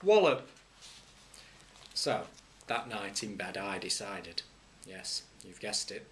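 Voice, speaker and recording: A man, a head teacher, reading a children's story aloud with wry humour and lively swings in pitch. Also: a little hiss or hum.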